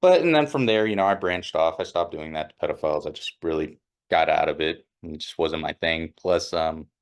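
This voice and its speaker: A young man with a very low voice tells his story in a loose, offhand way. He rambles, stops and restarts, and fills his sentences with fillers. His voice has a slightly nerdy sound. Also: a little fast. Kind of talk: casual first-person storytelling.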